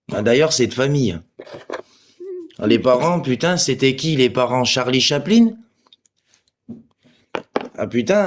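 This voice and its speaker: A man talks on a livestream in a middle-pitched voice. He is confrontational and crude, swearing and trading abuse with commenters as he goes. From Portugal. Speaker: live stream participant. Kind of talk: livestream chat replying to commenters.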